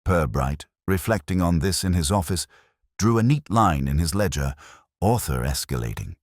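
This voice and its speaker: Very deep male storyteller. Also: no echo, studio quality. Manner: measured, literary storytelling. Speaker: audiobook narrator. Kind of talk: scripted narration of a mystery story.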